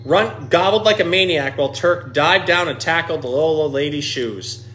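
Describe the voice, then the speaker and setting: A man reading a children's picture book aloud in an animated, expressive storytelling style. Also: a little high, normal speed, slight background noise. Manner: expressive, dramatic dialogue readings.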